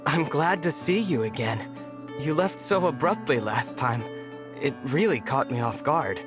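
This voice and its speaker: An earnest young royal of dragon blood, played by an actor in a video game cutscene. The voice is sincere and polite, with lively, swinging intonation over clear background music. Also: mid-range voice, measured pace, natural voice. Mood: gentle, caring.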